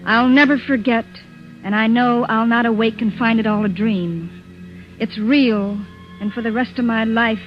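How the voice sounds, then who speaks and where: An actress playing a haunted young woman recounting a nightmare gravely and intensely in 1940s radio style. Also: a little high, fairly even intonation, measured pace, natural voice. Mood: haunted, resolute. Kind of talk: 1940s radio drama narration. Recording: muffled old radio, music behind.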